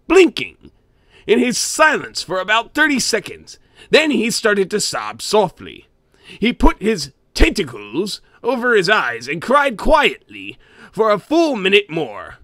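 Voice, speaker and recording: Gravelly greedy old cartoon crab telling a spooky story, super animated and theatrical. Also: male voice, high voice, no echo, studio quality, full character voice. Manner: theatrical, with grumbling asides and barking chuckles. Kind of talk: comic scary-story narration in character.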